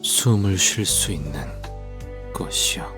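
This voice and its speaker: An actor playing a solemn, melancholy poet in a Korean game, with grave, deliberate, archaic speech. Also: male voice, low voice. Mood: wistful. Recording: music behind the voice.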